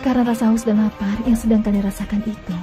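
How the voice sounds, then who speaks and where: Gentle mother camel , voiced by a woman, quick and soft, over a music bed. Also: mid-range voice, level tone, natural voice. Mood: calm, earnest. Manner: soft, tender and pleading. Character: gentle motherly female she-camel, calm and devout. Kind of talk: dubbed cartoon acting.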